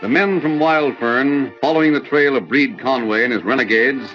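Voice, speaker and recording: A man's voice: medium pitch, moderate pace. A man narrating an old-time radio adventure serial in a formal announcer style. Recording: dull, lo-fi old radio recording. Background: music.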